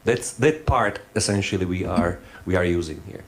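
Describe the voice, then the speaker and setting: A man's voice: sits low, normal speed, a bit bright. A man who is not a native English speaker, giving a hands-on workshop lecture. He thinks aloud and halts often, restarting his sentences.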